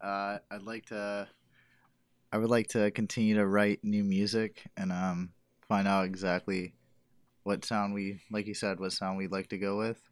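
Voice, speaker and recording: A man's voice: lowish voice, flat delivery, moderate pace, no echo. A man speaking casually and hesitantly as a band member in an interview.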